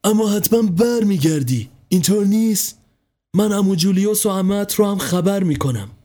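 A man's voice: high-pitched, lively intonation, dark tone, voice pushed up. A man voicing an anxious, loving middle-aged mother who pleads with her son in a storybook reading. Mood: pleading. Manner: pleading, rising and tearful.

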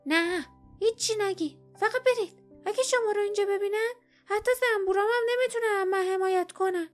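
A fierce old woman from an audiobook fantasy tale. She is suspicious and scolding, and her voice sits very high and forceful as she guards what is hers. Music plays faintly behind her. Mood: urgent, fearful. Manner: scolding, threatening, colloquial speech. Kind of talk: dramatized audiobook character voice.

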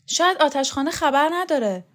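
A woman voicing an earnest, anxious young male student who pleads quickly. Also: sits high, lively intonation, fairly bright tone, voice pushed up.

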